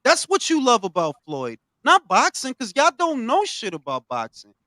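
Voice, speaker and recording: A man hosting a boxing talk show, ranting with heavy profanity and swinging from low mutters to loud outbursts. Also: huge pitch swings, moderate pace, no room sound, clean studio sound. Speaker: podcast host.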